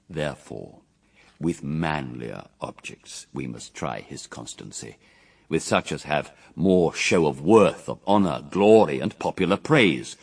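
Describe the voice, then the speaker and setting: Cunning fallen angel, very deep voice, grand oratory, dramatic swells, set back from the mic, radio verse drama. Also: male voice, creaky, husky, far from the mic, exaggerated voice. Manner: grand, oratorical, scheming, sharply swelling and dropping.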